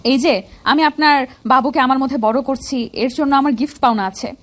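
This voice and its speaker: A woman's voice: sits high, normal speed, natural voice. A woman playing a playful, demanding young wife in a romantic audio story, coy and insistent.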